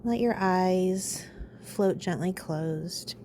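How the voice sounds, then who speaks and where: A woman leading a guided meditation in a calm, soothing, unhurried way, pausing between phrases. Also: fairly low pitch, slight background noise.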